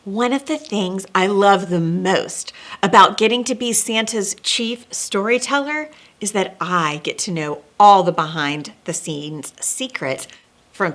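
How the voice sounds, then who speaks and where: Slow-paced woman reading a children's storybook. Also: mid-range voice. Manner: expressive, dramatic pauses and emphasis. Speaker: storyteller and host. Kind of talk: children's picture-book read-along with asides.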